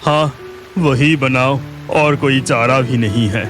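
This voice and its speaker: A man voicing an excitable, food-loving village husband in a Hindi cartoon story, with expressive exclamations. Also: mid-range voice, slow, over music, lightly acted. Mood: hungry, wishful.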